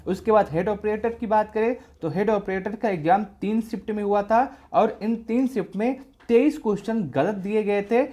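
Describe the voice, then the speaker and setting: A man presents an informational explainer for exam candidates. He works through each point in order as a video host. He often restates what he has just said so that it is clear. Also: sits high, mellow.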